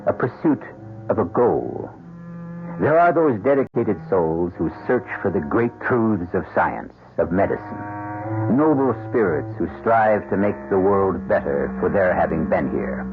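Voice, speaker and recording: A man's voice: low voice, expressive, unhurried. A man playing the wry, philosophical host of a radio mystery play, narrating theatrically. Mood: musing. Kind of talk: theatrical scripted narration, old-time radio. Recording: tinny old radio broadcast, loud music.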